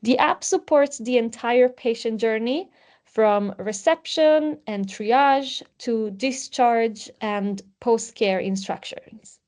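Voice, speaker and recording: A woman's voice: fairly high pitch, slow, darkish tone. Woman presenting a product demo. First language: Italian. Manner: explanatory, with frequent um fillers. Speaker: webinar presenter.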